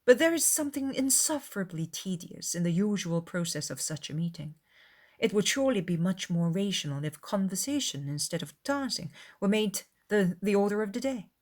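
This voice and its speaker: A woman's voice: mid-range voice, expressive, normal speed, darkish tone, close to an everyday voice. A woman voicing an affected, haughty young society lady with formal, persuasive phrasing in a dramatized classic novel. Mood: coolly disapproving.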